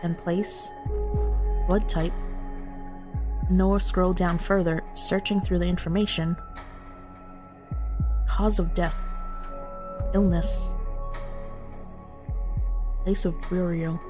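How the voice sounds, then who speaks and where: A woman's voice: fairly low pitch, flat delivery, takes it slowly, long pauses. A woman streamer who moves between casual, informal chat and reading a visual novel story aloud. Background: background music.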